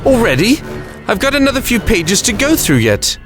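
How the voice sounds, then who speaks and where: A man's voice: extremely high pitch, sing-song, normal speed, music in the background. A man playing a sardonic, fatalistic time-travelling alien lord in an audio drama, wry and flippant in manner. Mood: flippant, defiant.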